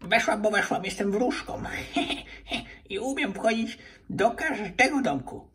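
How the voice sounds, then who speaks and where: A man's voice: high voice, animated, takes it slowly, fairly bright tone, far from the mic, voice pushed up, heavily acted. A man voicing a sly, grumpy fairy lady in a children's toy puppet story, coy and prickly.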